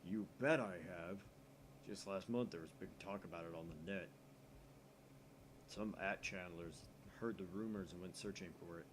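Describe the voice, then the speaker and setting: A man voicing a laid-back young male hacker friend who talks in an offhand, low mumble. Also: normal speed, background noise, close to an everyday voice. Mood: explaining.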